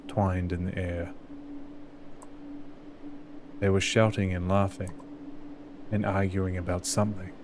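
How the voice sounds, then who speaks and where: A man's voice: sits low, background noise. A man hosting a gaming channel, doing let's play commentary and reading game text aloud. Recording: music loud behind the voice. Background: game music.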